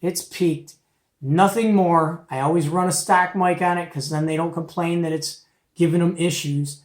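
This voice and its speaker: A man's voice: on the high side, fairly even intonation, normal speed. A middle-aged man giving a casual, folksy and opinionated product show-and-tell as the host. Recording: echoey, roomy home recording.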